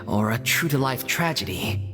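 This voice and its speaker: Game role: level-headed female troupe member, low pitch, lively intonation, explanatory. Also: normal speed, over music. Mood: earnest, concerned. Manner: earnest, explanatory. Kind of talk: scripted video game dialogue.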